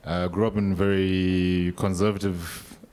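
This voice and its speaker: A man's voice: sits low, level tone, unhurried, darkish tone, smooth. A man from Zimbabwe, chatting casually and wryly as a guest on stage. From Harare, Zimbabwe. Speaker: panel guest, rope performer. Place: live event with an audience.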